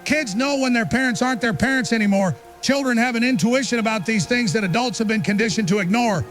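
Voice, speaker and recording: A man's voice: very high voice, fast, fairly bright tone, faint music behind. A man acting an earnest, insistent conspiracy researcher being interviewed as a guest in an audio drama. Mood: urgent.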